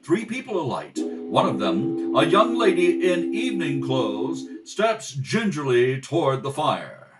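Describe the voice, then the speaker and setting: A man's voice: a little high, wildly swooping pitch, a little slow, hardly any pauses, over music. A man playing a grandiose, emphatic announcer and narrator of an old-time radio play. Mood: atmospheric narration. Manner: emphatic, theatrical. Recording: slightly distant shared home microphone.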